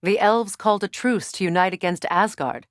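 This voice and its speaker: A woman playing a regal, guarded warrior goddess in a video game, speaking in a measured, solemn, dry-witted way. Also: mid-range voice, no echo, natural voice. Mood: resolute, commanding. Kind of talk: dramatic video game voice acting.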